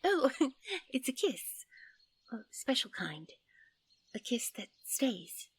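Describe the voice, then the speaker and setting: A woman playing a gentle, easily flustered fairy princess in an audio roleplay, with polite, dreamy and quaintly formal speech. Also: high voice, sing-song, slow, slightly rough, heavily acted. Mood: dreamy, coy.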